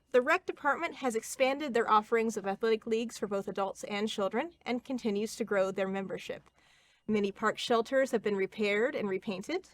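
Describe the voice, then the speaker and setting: A woman in her thirties from Ohio giving a prepared campaign statement as a city council candidate, earnest and steady with small slips. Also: fairly high pitch.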